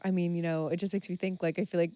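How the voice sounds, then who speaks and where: A woman's voice: on the low side, level tone, very fast, dark tone. A woman hosting a radio music show, chatting casually and filling her questions with "like.". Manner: chatty, lots of "like" fillers. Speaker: radio host. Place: radio studio.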